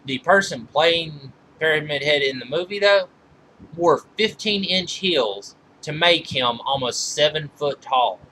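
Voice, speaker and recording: Male reviewer, very sharp bright voice, informal countdown commentary, stop-start phrasing with rewordings, faint noise behind. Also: a little high, lively intonation, rough recording. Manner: halting, often backtracking and rephrasing mid-sentence. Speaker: video reviewer counting down a list of movies.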